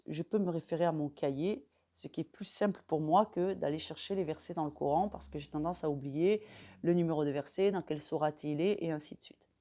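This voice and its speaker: A woman teaching a religious study method in French, speaking calmly and measuredly, with frequent pauses and fillers. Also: low voice. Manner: calm, measured, pausing often with fillers. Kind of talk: instructional talk to camera.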